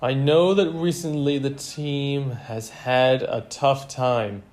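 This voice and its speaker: A man's voice: medium pitch, fairly even intonation, takes it slowly, a little mellow, voice pushed up, close to an everyday voice. A man voicing an earnest football coach and father rallying his team in a story. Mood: earnest, urgent.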